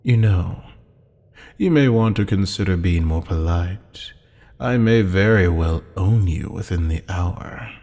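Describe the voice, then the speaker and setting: A man playing a haughty, teasing demon buyer in an ASMR roleplay drama, with a low, gravelly, husky voice and a taunting, mock-polite manner. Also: mellow. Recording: long hall-like reverb.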